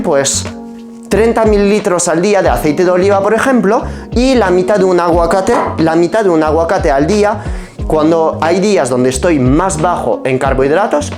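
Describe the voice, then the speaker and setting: A man's voice: high voice, lively intonation, normal speed, a little mellow, soft background music. A man from France with a French accent in his Spanish, a pharmacist explaining his diet to camera, asking and answering his own questions. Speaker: pharmacist and nutrition YouTuber. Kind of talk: explanatory nutrition talk to camera.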